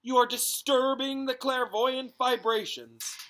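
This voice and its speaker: A man voicing a theatrical, dreamy woman fortune-telling teacher in a misty, drawn-out voice for a storybook read-aloud. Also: very high-pitched, fairly bright tone, voice pushed up. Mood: airy, scolding. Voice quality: misty, breathy delivery. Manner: drawn-out, dramatic, airy.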